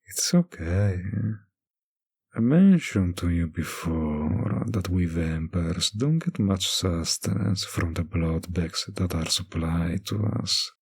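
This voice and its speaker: A man playing a teasing, possessive male vampire in an intimate roleplay addressed to the listener, with a husky, gravelly voice and a lingering delivery. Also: low-pitched, animated, slow pace, a little mellow, exaggerated voice. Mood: coaxing, possessive.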